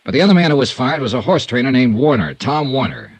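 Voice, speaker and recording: A man's voice: mid-range voice, very animated, fast, no echo. An actor playing a blunt, sardonic insurance investigator who narrates his own case in a 1950s radio noir drama. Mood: probing. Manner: dry, clipped, sardonic. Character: hard-boiled insurance investigator, wry and blunt. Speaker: narrator and lead detective.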